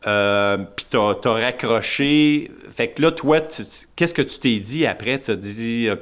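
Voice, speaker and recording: A man's voice: medium pitch, unhurried, a bit bright, clean tone. A man with a Québécois French accent hosting a podcast, asking rambling questions with restarts. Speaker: podcast host. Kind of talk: casual podcast interview.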